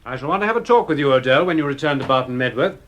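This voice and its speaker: An actor playing a formal, authoritative police superintendent in an audio drama, speaking deliberately. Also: male voice, mid-range voice, animated, fairly bright tone, slight background noise, natural voice. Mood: official, composed.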